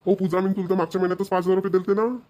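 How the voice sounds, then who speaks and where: A man's voice: sits high, flat delivery, very fast, a little mellow, highs cut off, natural voice. A man playing an exasperated, thrifty young husband in a cartoon, complaining and scolding with a Vidarbha Marathi accent. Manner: nagging, complaining. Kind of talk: amateur cartoon voice acting.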